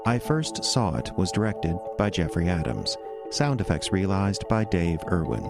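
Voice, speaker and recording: A man reading scripted radio drama credits as an announcer, in a measured, formal way. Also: low-pitched, sing-song, creaky. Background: music.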